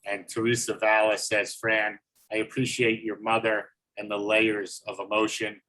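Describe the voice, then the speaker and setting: A man hosting an online artist event on a video call, reading out chat comments in a steady, level way. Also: fairly low pitch, normal speed, low-quality recording. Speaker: host.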